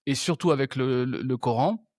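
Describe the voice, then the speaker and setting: A man's voice: medium pitch, normal speed, darkish tone, dry recording. A male interview host with an ordinary mid-range voice, musing aloud and restarting phrases as he reaches for ideas. The sound is dry and close, with no room around it. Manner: thinking aloud, frequent restarts and hesitations. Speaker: podcast host. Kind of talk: reflective conversational interview.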